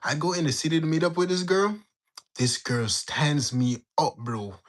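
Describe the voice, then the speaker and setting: A young man in his late teens from Jamaica, telling a story in a slangy, animated way while bantering with his livestream chat. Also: a little high, moderate pace. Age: young adult. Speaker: livestream host. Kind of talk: casual storytelling to live chat.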